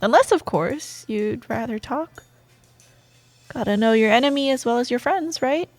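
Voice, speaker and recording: A woman playing a sardonic, secretly soft female office rival in a romantic audio roleplay, teasing and expressive, with dramatic pauses. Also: fairly high pitch, dry recording, soft background music, close to an everyday voice. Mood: grudging, playful banter.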